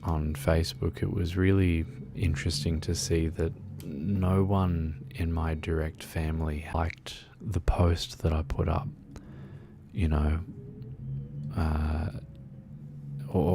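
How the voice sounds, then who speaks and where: A man streaming a game, chatting in a soft-spoken, soothing, rambling way with lots of fillers. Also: low-pitched, flat delivery, slow, vocal fry, background noise. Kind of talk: relaxed casual chat while gaming.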